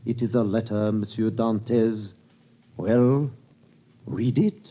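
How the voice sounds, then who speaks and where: A cold, scheming prosecutor who speaks in curt, authoritative tones. His voice is fairly low. It comes through a thin, tinny old radio recording that sounds as if played over a phone line. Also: fairly even intonation, slow. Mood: interrogating. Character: cold, calculating male king's prosecutor. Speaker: actor. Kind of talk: 1930s radio drama acting.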